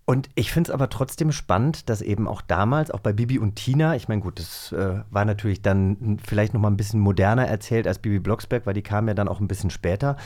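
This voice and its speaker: A man's voice: lowish voice, fast talker, few pauses, a little mellow. A man talking casually as co-host of a magazine show, chatty and self-deprecating. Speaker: podcast co-host.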